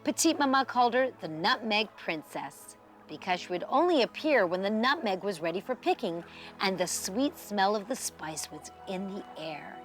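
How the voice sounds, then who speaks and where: Female storybook narrator for a children's show: expressive, highly varied pitch, lingering on key words, music underneath. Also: moderate pace.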